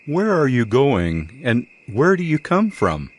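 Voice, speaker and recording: Earnest, animated old man. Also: medium pitch, slow pace, exaggerated voice. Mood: curious. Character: hospitable old man, pleading and protective householder. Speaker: actor in dramatized scripture. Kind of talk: dramatized audio Bible acting. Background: background music.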